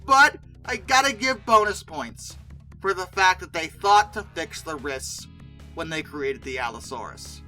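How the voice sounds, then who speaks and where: An expressive video host with a bright, forceful voice and very lively intonation. The delivery is informal and chatty commentary, with opinionated, exasperated asides and mock disbelief. Music plays faintly behind the voice. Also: medium pitch.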